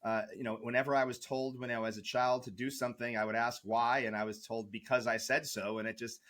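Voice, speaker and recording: A man's voice: mid-range voice, flat delivery, brisk, a bit bright. A man interviewed as a guest expert who speaks passionately and argumentatively, with many fillers. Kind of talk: interview answers.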